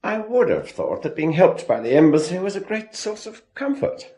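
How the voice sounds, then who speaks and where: A man's voice: sits high, wildly swooping pitch, normal speed, warm tone, heavily acted. An actor playing a breezy, slippery English consular man in a radio drama, jaunty and patronizing, with an English accent. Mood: affable, evasive.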